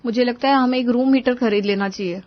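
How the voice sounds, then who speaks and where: A woman's voice: on the high side, fast talker, muffled recording, voice pushed up, lightly acted. A woman playing a tired young wife and mother in a cartoon story, conversational and mildly complaining. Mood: weary. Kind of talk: Hindi moral-story cartoon dubbing.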